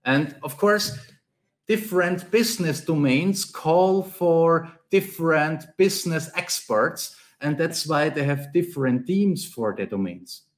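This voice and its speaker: Austrian-accented male tech speaker. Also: medium pitch. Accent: Austrian German. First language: German. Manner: explanatory, repeats key phrases, non-native phrasing. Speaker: conference speaker, Angular trainer and consultant. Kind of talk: conference talk.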